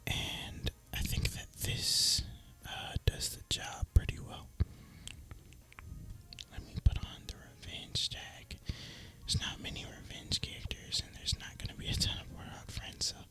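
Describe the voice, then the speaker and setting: Young adult man, breathy bright whisper, unhurried casual gameplay commentary for ASMR. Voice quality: soft breathy unvoiced whisper. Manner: casual, relaxed, rambling. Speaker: gaming ASMR YouTuber.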